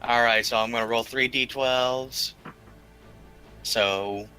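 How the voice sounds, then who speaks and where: Man, roleplaying game player, extremely bright edgy voice, casual table talk, music in background. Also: mid-range voice, steady tone, takes it slowly.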